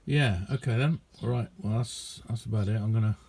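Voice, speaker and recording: Low, mellow middle-aged man. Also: brisk, creaky, husky. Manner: rambling, understated, self-deprecating. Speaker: amateur radio hobbyist showing his kit. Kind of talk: casual hands-on video chat. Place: small indoor radio room.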